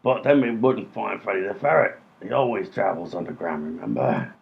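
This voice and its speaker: Comic radio play: long-winded crook male actor, lowish animated voice, mock-logical, muffled sound. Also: vocal fry. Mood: pedantic, deadpan. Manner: deliberate mock-reasoning. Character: pedantic, long-winded, clueless male robber. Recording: muffled lo-fi sound, music behind.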